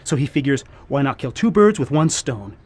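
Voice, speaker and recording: An actor playing a world-wise, protective barkeep, blunt and slangy, in an old-time radio noir style. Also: male voice, medium pitch, very animated, normal speed, slightly rough. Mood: urgent warning.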